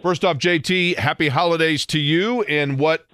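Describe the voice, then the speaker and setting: A man's voice: on the high side, steady tone, moderate pace, sharp tone, clear, resonant tone, no room sound. A man hosting a sports radio interview, speaking into a close studio microphone.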